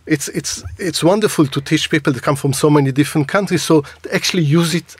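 A middle-aged man, a native Hebrew speaker and university lecturer, talking in a radio discussion with many halting restarts. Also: fairly high pitch, slightly rough, no echo. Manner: hesitant, many restarts and repeats.

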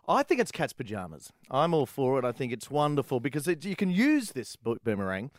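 Teasing radio host, lively up-and-down delivery, dry close sound. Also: male voice, mid-range voice, a little fast, no room sound. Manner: playful, teasing, animated. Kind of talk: comic radio banter.

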